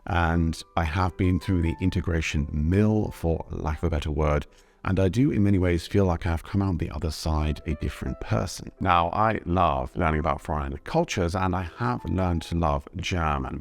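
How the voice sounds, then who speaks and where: A young Englishman with an English accent, telling his own story in measured, reflective scripted narration. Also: low-pitched, vocal fry. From Britain. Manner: thoughtful, measured storytelling, switching between English and German. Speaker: vlogger.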